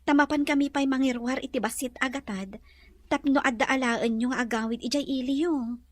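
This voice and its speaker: A woman plays a gracious Filipino lady of the house in an audio drama. Her voice is high and recorded very dry. She speaks in a gentle, earnest way, full of kindness. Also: brisk, dry recording. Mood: kind, insistent. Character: kind, gracious lady of a mansion.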